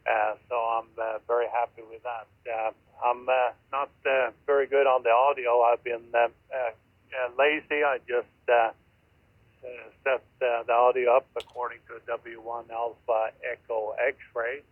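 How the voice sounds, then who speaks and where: A man speaking haltingly, with many pauses, in a ham radio contact, heard through a radio receiver. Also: medium pitch, little pitch movement, no room sound. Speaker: amateur radio operator. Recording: narrow-band shortwave radio, fading volume.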